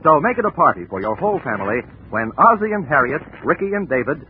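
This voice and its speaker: A tinny, faintly noisy vintage broadcast carries an American man announcing in polished 1940s style. His upbeat scripted delivery moves through lively pitch swings. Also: quick pace. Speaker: network radio announcer. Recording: tinny old radio broadcast, faint noise.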